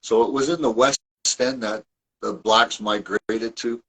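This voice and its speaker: A man's voice: fairly low pitch, fairly even intonation, unhurried, fairly bright tone, husky, low-quality recording. A man from Toronto, a guest and author, telling his family history in a rambling, casual way with many restarts and asides. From Toronto, Canada. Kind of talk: casual conversational storytelling.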